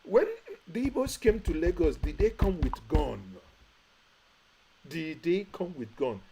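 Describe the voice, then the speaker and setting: A man's voice: a little high, sing-song, a little slow, background noise. A Nigerian man giving impassioned live-stream commentary, straining his voice and repeating rhetorical questions. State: straining, says he is shouting and has a headache. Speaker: online commentary host.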